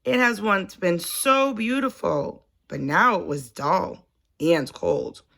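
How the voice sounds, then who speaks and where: A woman reading a children's story aloud in an expressive, deliberate storytelling manner. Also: mid-range voice, gravelly. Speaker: storybook reader, teacher.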